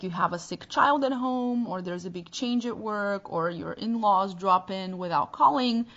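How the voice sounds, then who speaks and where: A woman's voice: mid-range voice, pushed and bright, dull audio. A woman with a Bulgarian accent, a native Bulgarian speaker, hosting a podcast interview and searching thoughtfully for her words. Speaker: podcast host.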